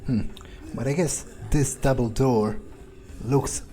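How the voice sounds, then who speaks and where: A man's voice: mid-range voice, takes it slowly, dark tone, a little hiss or hum. A man thinking aloud in character as he plays a game, in an understated, musing way. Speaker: gameplay video creator.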